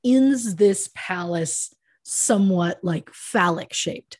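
A woman co-hosting a podcast, chatting casually and giving short, deadpan reactions to a story. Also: on the low side, takes it slowly, no room sound, clean studio sound.

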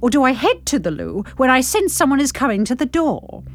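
A woman playing a grumpy, impatient, sardonic older woman, telling her story in the first person in a wry, expressive way. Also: sits high, brisk.

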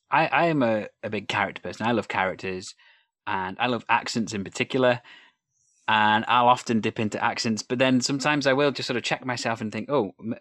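Male host from North Wales, no regional accent, lower register, dry close sound, reflective and easygoing. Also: a bit bright, no room sound. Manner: thoughtful, hesitant, laughs easily. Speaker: podcast host. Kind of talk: conversational interview.

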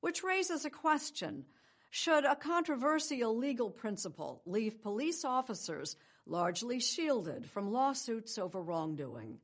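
An older woman anchors a morning news program with measured, polished scripted delivery. Her voice sits fairly high and moves with lively, expressive intonation. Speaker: news show host. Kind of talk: scripted broadcast narration.

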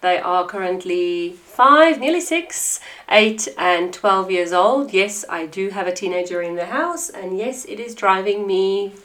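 A woman's voice: medium pitch, measured pace, a bit bright. A woman in her thirties with a South African accent, hosting a podcast in a chatty, conversational way with frequent fillers. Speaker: podcast host. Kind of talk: casual podcast monologue.

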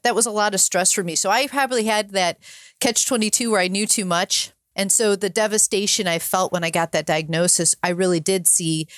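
A middle-aged woman with a calm, soothing, measured way of talking, sharing her personal story as an interview guest. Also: on the low side, no echo, studio quality. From northern climate region. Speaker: podcast guest.

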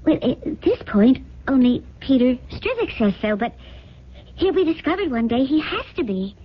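Naive, devoted heiress: high breathy voice, gushing refinement, muffled vintage radio-drama sound. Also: female voice, big pitch swings, moderate pace, exaggerated voice. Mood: pleading. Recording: dull lo-fi 1970s radio broadcast.

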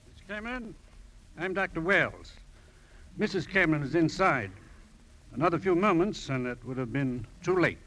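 Higher-voiced male ship's doctor. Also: expressive, normal speed, pauses often, fairly bright tone. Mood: grave, reassuring. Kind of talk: 1950s radio drama acting. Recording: faintly noisy old radio recording.

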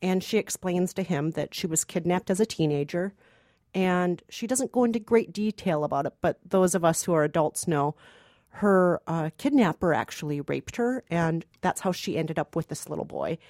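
A woman's voice: lowish voice, expressive, normal speed, darkish tone, no room sound, clean studio sound. A woman co-hosting a podcast, giving an emphatic, enthusiastic film review in a conversational style. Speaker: podcast co-host and film critic.

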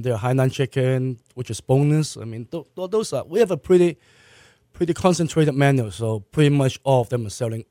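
A man's voice: medium pitch, dark tone, dry recording, studio quality. A man from Malaysia raised in Hong Kong, a restaurant owner answering interview questions plainly, often restarting his sentences. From born in Malaysia, raised in Hong Kong.

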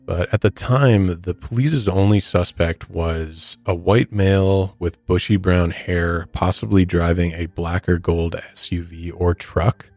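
A man's voice: deep voice, steady tone, a little slow, few pauses, darkish tone, no echo. A man hosting a true crime podcast, explaining the case conversationally and addressing the listener directly. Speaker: podcast host.

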